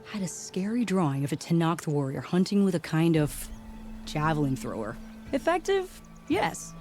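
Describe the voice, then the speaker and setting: An actress playing an excitable, salesy weapon inventor pitching her invention in a game cutscene. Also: moderate pace, soft background music. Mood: enthusiastic. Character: excitable weapon-tinkering woman trader, showy salesy. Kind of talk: video game cutscene acting.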